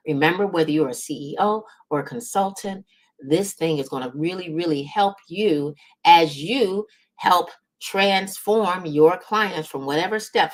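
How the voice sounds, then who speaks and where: Low-pitched woman giving motivational coaching. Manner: emphatic, encouraging, often checks in with "right?" and "okay?". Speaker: business coach and series host. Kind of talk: motivational coaching talk to camera.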